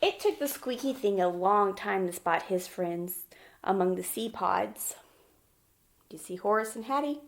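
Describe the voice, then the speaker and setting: A woman's voice: mid-range voice, animated, a little slow, vocal fry. A woman with a mid-range voice speaks as a teacher to young children. She reads and explains in simple terms, as in a storybook lesson. She often turns to the listener with a question. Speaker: teacher reading a picture book to children.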